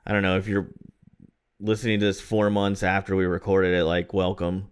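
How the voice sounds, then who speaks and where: A man's voice: low voice, monotone, normal speed, clear, resonant tone. A man in his thirties from Florida, co-hosting a podcast in a rambling, self-deprecating casual chat. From Florida, USA. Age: 30s. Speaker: podcast co-host.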